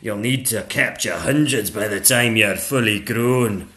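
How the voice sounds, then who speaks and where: A man voicing a female dragon teacher in a storybook, loud and encouraging, with emphatic, drawn-out schoolteacher delivery. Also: fairly low pitch. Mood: stern, instructive.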